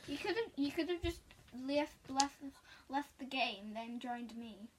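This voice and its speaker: A woman's voice: sits high, lively intonation, takes it slowly, background noise. A woman chatting casually, chiming in as a friend's sidekick on a story. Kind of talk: casual chat.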